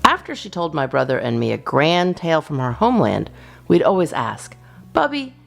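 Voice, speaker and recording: A woman reading a children's storybook in an expressive, deliberate narrating style. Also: low-pitched, gravelly. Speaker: storyteller, children's channel host.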